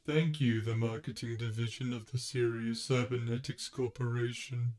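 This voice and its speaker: A man's voice: medium pitch, flat delivery, normal speed, a little mellow, natural voice. Depressed robot, droning, morose, self-pitying, man's put-on voice, storybook reading. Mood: weary, despondent. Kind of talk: audiobook character voice.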